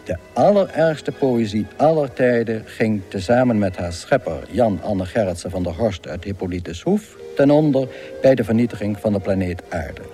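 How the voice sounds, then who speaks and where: A man narrating a comic space radio drama as a wry storyteller, in a measured, deadpan manner. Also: on the low side, faint music behind, close to an everyday voice.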